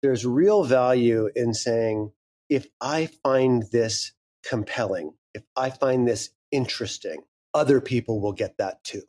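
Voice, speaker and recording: A man's voice: medium pitch, unhurried, darkish tone. Frank, candid man in his fifties. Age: 50s. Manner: frank, candid, swears casually. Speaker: guest, audio drama creator. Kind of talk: casual interview chat.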